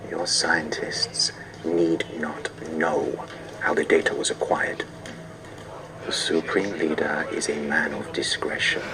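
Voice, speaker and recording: An actor playing a cold, threatening agent of a dictatorship, speaking in a slow, deliberate, intimidating way in a dramatic film scene. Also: male voice, a bass voice, flat delivery, recorded from a distance, over music, heavily acted, rough recording.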